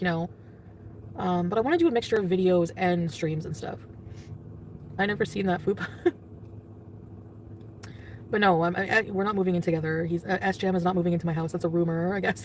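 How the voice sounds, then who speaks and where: Vlogger, woman, rambling and laughing, off-mic with faint noise behind. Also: lowish voice. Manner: rambling, lots of fillers, laughs often. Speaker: vlogger in the clip being reacted to. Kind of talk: casual vlog and live-stream chat. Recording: distant, noisy clip audio.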